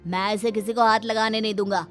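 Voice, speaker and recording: A woman's voice: mid-range voice, little pitch movement, fast, soft background music, lightly acted. A woman voicing a boastful, possessive schoolboy with a smug, emphatic delivery in a cartoon story. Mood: haughty. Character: boastful, possessive schoolboy showing off his new laptop.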